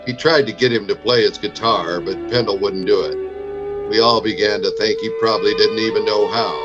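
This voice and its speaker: An actor playing a stern, laconic frontier marshal in a vintage radio Western, speaking in a terse, firm way. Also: male voice, lowish voice, steady tone, moderate pace, dull audio, over loud music, natural voice. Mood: grave narration.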